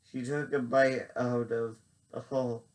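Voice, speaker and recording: A man's voice: medium pitch, little pitch movement, slow pace, a little mellow, far from the mic, natural voice. A man voicing a gloomy, simple-minded Cajun man in a slightly raised, plain voice.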